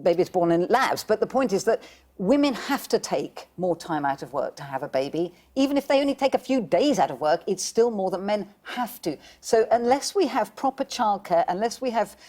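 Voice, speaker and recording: A woman in a studio debate who stammers and restarts often, yet pushes on insistently. Her voice sits at a middle pitch for a woman. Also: animated, moderate pace, darkish tone. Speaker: panel guest.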